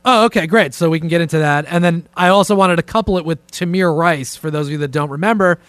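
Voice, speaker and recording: Higher-voiced man hosting a casual podcast. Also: quick pace, fairly bright tone, dry recording. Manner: informal, joking, takes charge of the talk. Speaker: podcast host. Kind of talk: casual profane talk show chat. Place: indoors, remote conference call set up with camera.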